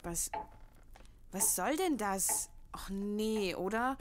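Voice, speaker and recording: A woman's voice: medium pitch, expressive, slow, background noise. A woman playing a sullen, frightened sixteen-year-old daughter in a horror audio drama. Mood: uneasy.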